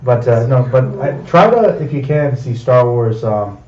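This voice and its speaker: A young man of average pitch hosts a laid-out, informal chat, often halting mid-thought. The room echoes and the sound is a bit dull. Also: expressive, darkish tone. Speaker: podcast host. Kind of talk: casual chat. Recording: roomy, slightly dull sound.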